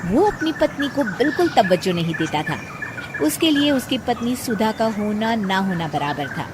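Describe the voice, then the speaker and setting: A woman's voice: mid-range voice, very animated, moderate pace, noisy background. A woman reading scripted narration for a cartoon family story.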